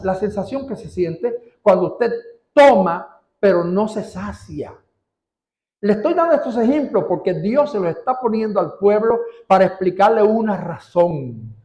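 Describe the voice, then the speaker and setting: High-voiced preaching man in an echoey hall. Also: expressive, warm tone. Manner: preaching, drawing out key words slowly and rising for emphasis. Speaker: pastor. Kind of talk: sermon. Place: church.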